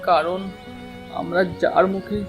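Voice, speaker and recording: A man playing a kind but troubled village father in a dramatic, slow, exclamatory cartoon story style. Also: high voice, little pitch movement, faint music behind. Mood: shocked, fearful.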